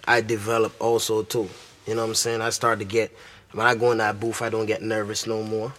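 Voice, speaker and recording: A man from Barbados with a Barbadian accent, a musician talking about his music in a relaxed interview. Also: on the low side, a little fast. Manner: laid-back, repeats "you know what I'm saying". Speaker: guest musician. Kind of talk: casual interview chat.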